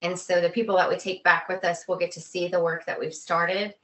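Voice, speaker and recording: Woman, lowish pitch, casual conversational delivery, interview guest. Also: level tone, fairly quick, fairly bright tone. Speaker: guest, expedition group co-founder.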